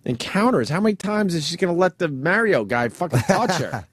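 Deadpan radio host guy, crude jokes, reads the news flat. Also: a little high, a little fast, slightly rough, no room sound, clean studio sound. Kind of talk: casual radio talk, reading news aloud. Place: radio studio.

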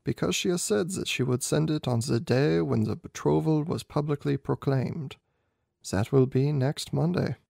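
Guy doing proud anxious young king from a storybook, very creaky, solemn n emphatic, dry sound. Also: on the high side, moderate pace, warm tone, no echo. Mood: grave. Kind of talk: amateur audiobook character reading.

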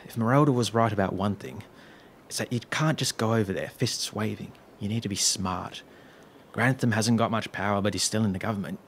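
Man playing a level-headed companion, lowish voice. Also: brisk, close to an everyday voice. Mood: wry, cautioning. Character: level-headed male companion urging caution, dryly opinionated. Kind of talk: audio drama acting. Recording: noisy, with background ambience.

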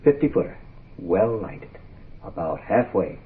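Gray, a husky, curt crime-drama sidekick. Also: male voice, low voice, expressive, a little slow, exaggerated voice. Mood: curt, decisive. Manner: curt, matter-of-fact. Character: grim, decisive male detective's associate, Gray. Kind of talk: 1960s radio drama acting. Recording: tinny vintage radio recording.

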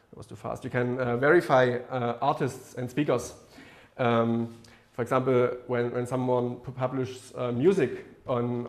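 Halting man giving a tech meetup talk. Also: mid-range voice, gravelly, a little mellow. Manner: hesitant, many fillers and restarts. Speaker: meetup speaker. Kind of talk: technical presentation. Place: lecture room with projector screen.

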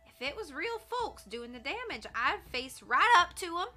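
A woman's voice: very high-pitched, very animated, moderate pace, sharp tone, faint music behind, pitched above the performer's own voice, heavily acted. A woman voicing a superstitious ranch cook woman, folksy and emphatic. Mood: fearful.

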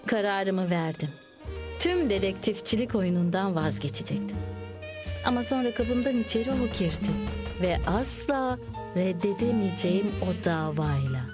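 A woman's voice: mid-range voice, animated, normal speed, lightly acted. A woman voicing an eager young insect who narrates like a hard-boiled detective in a dubbed cartoon, dramatic and mock-serious. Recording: music under the voice.

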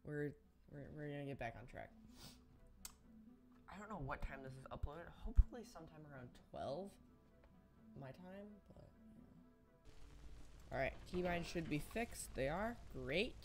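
A man's voice: a little high, expressive, moderate pace, pauses often, soft background music. A young man casually commenting on his own gameplay, thinking aloud and rambling as he builds. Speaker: gaming channel host.

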